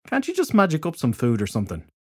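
A man's voice: a little high, wildly swooping pitch, fast talker, husky, no echo, studio quality, voice pushed up, full character voice. Man playing a sceptical, puzzled ordinary guy. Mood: impatient.

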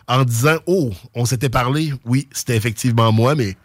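A man in his forties with a Québécois accent, a radio guest speaking in a measured, slightly hesitant, good-humored way. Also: medium pitch, no echo. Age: 40s. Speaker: guest, club director. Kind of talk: casual radio interview.

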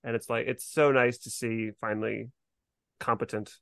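A man with a medium-pitched voice talks casually as a podcast co-host. His delivery is chatty and conversational, full of "like" as he works through his thoughts. The sound is dry, with little room around it. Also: little pitch movement, normal speed, gravelly, no room sound. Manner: chatty, frequent "like" and hedging. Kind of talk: casual chat discussing a TV series.

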